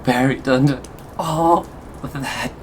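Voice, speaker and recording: A man acting an obsessive, sadistically tender lover in a scripted ASMR roleplay, taunting with breathy chuckles. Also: mid-range voice, takes it slowly, slight background noise, lightly acted. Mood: thrilled, taunting.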